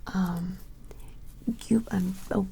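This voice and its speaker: A woman doing a gentle ASMR personal attention role-play as an attentive shopkeeper, whispering breathily and a little hesitantly, close to the microphone. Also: medium pitch, steady tone, very slow, gravelly, a little hiss or hum, rough recording. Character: attentive vampire shopkeeper measuring a creature customer.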